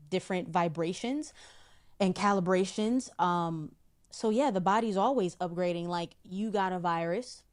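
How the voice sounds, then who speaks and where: A middle-aged woman, a psychic guest in an interview, explaining in a measured way and often repeating a word while she finds the next. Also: fairly low pitch, a little mellow. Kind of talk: spiritual interview talk.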